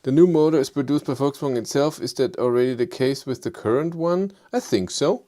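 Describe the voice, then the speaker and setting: Live host, male, thick German accent, mid-pitched voice, low-key and halting delivery. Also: warm tone. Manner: hesitant, low-key, many fillers, self-deprecating. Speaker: livestream host. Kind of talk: casual live chat answering viewers.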